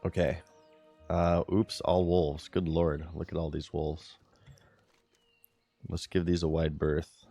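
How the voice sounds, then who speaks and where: A man with a very low, deep voice talks casually through a game stream, giggly and relaxed as he chats with viewers. Faint music plays behind him. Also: takes it slowly, gravelly, pauses often, no room sound. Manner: giggly, laid-back, chatting to viewers while playing.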